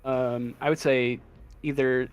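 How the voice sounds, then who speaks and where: A man running a tabletop game, narrating off the cuff and thinking aloud in a halting way. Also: medium pitch, little pitch movement, smooth. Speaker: game master. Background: faint music.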